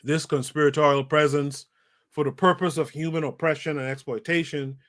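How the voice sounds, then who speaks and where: A man's voice: a little high, steady tone, a little fast, a bit bright. A man with a slightly raised voice reads from a book on his podcast livestream. He stops often to comment, repeats key phrases for emphasis and talks straight to the people watching in the chat. His voice comes through a home streaming mic. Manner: talks to a live chat audience. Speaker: podcast host. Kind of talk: reading a book aloud with live commentary.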